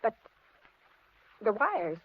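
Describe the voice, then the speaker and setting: An actress playing a frightened, kindly middle-aged housewife in a 1950s radio suspense drama, with an anxious, hesitant, dramatic delivery. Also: female voice, mid-range voice, big pitch swings, very slow, heavily acted. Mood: uneasy. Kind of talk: 1950s radio suspense acting and first-person narration. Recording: muffled, noisy 1950s radio recording.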